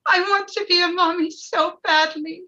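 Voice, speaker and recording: A woman's voice: extremely high pitch, steady tone, moderate pace, sharp tone, dull audio. A woman reading the part of an earnest, polite, gushing wife who yearns for a baby, in a screenplay table read. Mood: earnest, yearning. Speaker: actor in a live table read.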